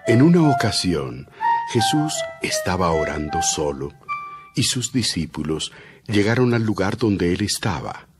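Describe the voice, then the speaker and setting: A man with a very low voice narrates a dramatized audio Bible. His delivery is expressive and rises and falls widely, telling the story with emphasis over loud music. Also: unhurried.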